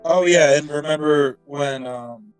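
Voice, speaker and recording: A man chatting at a tabletop game, teasing and laughing as he talks. His voice sits a touch high, with soft music behind him. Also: measured pace, rough recording. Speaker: player. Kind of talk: casual tabletop game talk.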